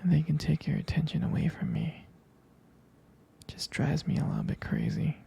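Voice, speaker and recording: A man playing a jealous, devoted boyfriend in an ASMR roleplay, speaking intimately and haltingly in a breathy, husky whisper. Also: fairly high pitch, wildly swooping pitch, mellow, heavily acted. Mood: uneasy, jealous. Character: jealous, possessive, devoted boyfriend soothing his sweetheart.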